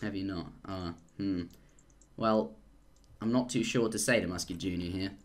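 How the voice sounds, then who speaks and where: A young man casting a live strategy game, rambling and jokey, and getting excited at big plays. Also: low-pitched, normal speed. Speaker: esports caster.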